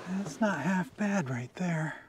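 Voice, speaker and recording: A man's voice: sits high, expressive, slow pace, very noisy. Musing man sawing logs outdoors. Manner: musing, talks to himself, admiring asides. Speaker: sawmill operator. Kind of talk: casual running commentary while working. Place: outdoors at a sawmill in a wooded yard. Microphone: action camera on the mill.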